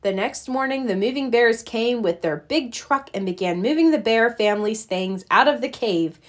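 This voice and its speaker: A woman reading a children's storybook aloud as narrator, expressive and deliberate. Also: a little high.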